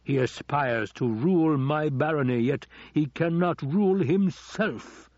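Audiobook villain, played by a man: scheming older nobleman, husky, slow, silkily taunting, dry recording. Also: fairly high pitch, a little mellow, dry recording, muffled recording, pitched above the performer's own voice. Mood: mock-affectionate. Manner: slow, drawn-out, taunting delivery.

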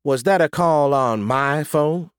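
A man's voice: fairly high pitch, expressive, unhurried, dry recording, clean studio sound, voice pushed up. A man voicing a stern but good-humoured mother, pointed and scolding in her delivery. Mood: indignant.

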